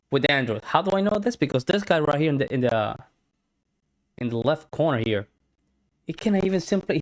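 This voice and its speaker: A man from Puerto Rico with a Puerto Rican accent and Spanish as his first language, giving casual commentary on a clip, often halting and repeating words. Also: on the high side. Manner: halting, repeats words while searching for them. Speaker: reaction video host.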